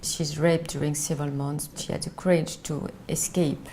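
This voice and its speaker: A middle-aged woman from France with a French accent, speaking English as a second language in a reflective interview, halting and searching for words. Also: low-pitched, level tone, warm tone, a little hiss or hum. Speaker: documentary interviewee.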